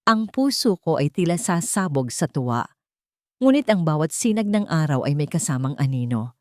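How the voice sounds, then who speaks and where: A woman's voice: low-pitched, very animated, normal speed, mellow, dry recording, studio quality. A woman reading a scripted first-person dramatic story as an audiobook narrator.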